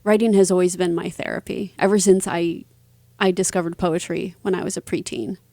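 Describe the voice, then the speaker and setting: A woman being interviewed as an author, answering conversationally in a reflective way with halting phrasing. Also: on the low side, little pitch movement, mellow, dry recording.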